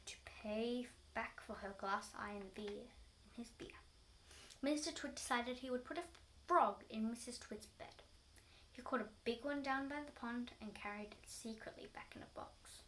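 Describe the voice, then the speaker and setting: Woman reading a kids book out loud, bit halting, highish voice, some noise behind. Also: rough recording. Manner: halting, often rereads words. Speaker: storybook reader. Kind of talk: reading a children's story aloud.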